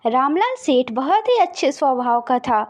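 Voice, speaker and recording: A woman's voice: sits high, sing-song, moderate pace. A woman narrating a scripted moral story as a storyteller.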